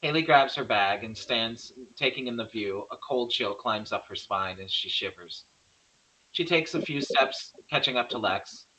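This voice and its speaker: Bright-voiced man narrating a screenplay. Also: medium pitch, lively intonation, unhurried, slight background noise, poor audio. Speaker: narrator reading stage directions. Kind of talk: scripted narration of a horror screenplay.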